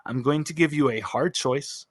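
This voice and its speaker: Man, game master, lowish voice, dry close sound, narrating off the cuff, punches his words. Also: moderate pace, husky, dry recording. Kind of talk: improvised narration of a tabletop roleplaying game.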